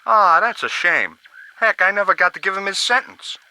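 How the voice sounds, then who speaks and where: Guy doing a folksy blunt radio buddy from a video game, really piercing bright voice, dry sound. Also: sits high, lively intonation, normal speed, no room sound, exaggerated voice. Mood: brisk, folksy. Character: folksy, brisk radio contact with a code name. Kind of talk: scripted adventure game dialogue.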